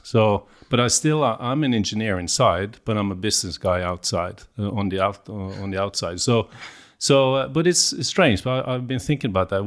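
A Norwegian man in his fifties is the guest in a casual interview. He speaks in a fairly low voice with a Norwegian accent. He stops and restarts often and fills pauses with "uh" and "um.". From Norway. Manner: hesitant, with many fillers and restarts.

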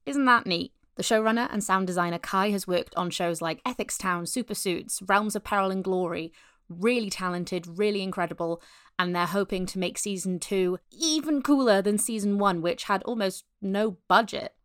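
A woman's voice: medium pitch, normal speed, fairly bright tone, clean tone, no echo, clean studio sound. A woman giving a casual, enthusiastic podcast promo in an informal, chatty way. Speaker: podcast promoter, voice actor and director.

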